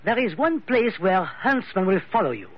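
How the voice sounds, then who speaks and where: An actor in an old radio drama playing a suave, suspicious French investigator with a French accent and formal, hesitant, courteous phrasing. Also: male voice, sits high, very animated, normal speed, husky, heavily acted. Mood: smooth, sly. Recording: dull vintage radio recording.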